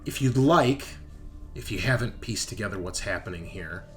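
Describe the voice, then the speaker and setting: A man narrating a sci-fi horror tabletop game as game master, speaking haltingly and thinking aloud with pauses. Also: lowish voice, expressive, soft background music.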